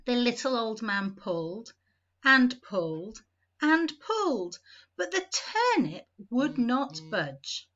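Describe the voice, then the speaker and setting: A woman reading a storybook aloud to young children, in an emphatic, deliberate manner with expressive phrasing. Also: fairly high pitch, muffled recording.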